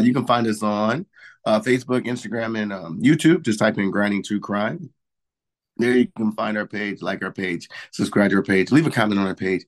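Hoarse man hosting a podcast. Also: on the low side. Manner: rambling, filler-heavy announcements. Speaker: podcast host. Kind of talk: casual podcast chat.

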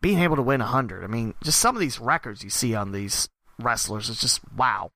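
A man with a hoarse, worn-out voice, reading off wrestling tournament results and then reflecting casually as a show host. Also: medium pitch, brisk, no room sound. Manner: steady listing of names and results. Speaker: podcast host and wrestling announcer.

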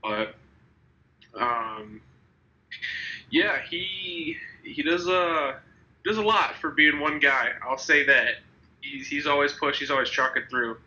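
A man's voice: on the high side, takes it slowly, long pauses, sharp tone, highs cut off. A young man in his twenties with a slightly congested, nasal voice from a cold, hosting a casual podcast chat and rambling with many restarts. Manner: rambling, restarts, laughs easily. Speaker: podcast host.

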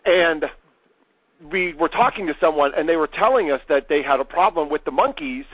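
A man with a notably high voice hosts a loose, casual conversation, spinning animated anecdotes with the odd curse. The sound is dry and a little dull, with a lo-fi edge. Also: normal speed, husky, no room sound. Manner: storytelling, animated, swears casually. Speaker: podcast host. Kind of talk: casual chat.

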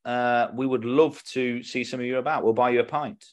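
A man's voice: mid-range voice, fairly even intonation, a little fast, highs cut off. A man with a British accent co-hosts a comedy podcast. His talk is casual and chatty, with a jokey manner and a mid-range voice. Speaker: podcast co-host.

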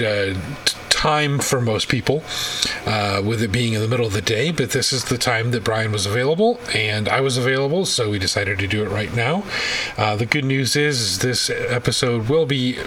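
A man hosting a casual live talk show, speaking haltingly and often stumbling over his words. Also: mid-range voice, a bit bright. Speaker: podcast host. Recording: distant mic with faint background noise.

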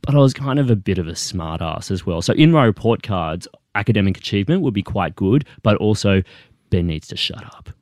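A young adult Australian man, a guest author, telling wry, animated stories in a casual interview. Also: fairly low pitch, a little fast, dry recording, clean studio sound.

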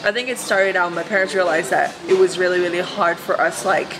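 A woman's voice: lowish voice, fairly even intonation, brisk, over music. A young woman with an American accent, chatting as a guest, rambling with fillers and laughing often. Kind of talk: casual chat. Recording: noisy background ambience.

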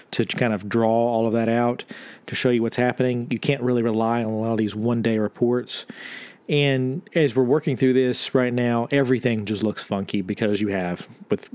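A man with a mid-pitched voice talks as a podcast host, explaining the news on his own. His delivery is conversational and informal, laying things out step by step. The sound is dry, with no room around the voice. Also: fairly even intonation, moderate pace, a little mellow, clear, resonant tone, no room sound. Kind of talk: solo news commentary, explaining figures.

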